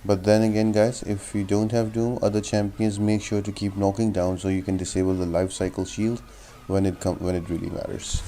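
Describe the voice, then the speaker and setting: Low, mellow-voiced man giving game tips. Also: little pitch movement, a little fast, slight background noise. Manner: instructional, step-by-step explaining. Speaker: video game guide host. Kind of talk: gameplay tutorial commentary.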